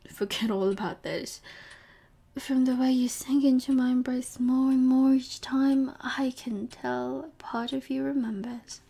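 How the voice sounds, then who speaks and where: Woman as female vampire professor, audio roleplay, predatory, possessive, mellow breathy voice, unhurried, whispery, softly commanding. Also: a little high, exaggerated voice. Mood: possessive, smug. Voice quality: breathy, often dropping to a whisper. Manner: slow, sultry, teasing, softly commanding. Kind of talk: intimate ASMR audio roleplay.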